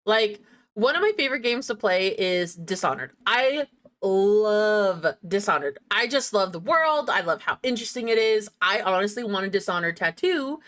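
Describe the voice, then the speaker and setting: A woman's voice: mid-range voice, lively intonation, moderate pace, a bit bright. A woman hosting a game livestream, chatting casually and playfully to herself and her viewers in a giggly manner. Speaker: game streamer, host.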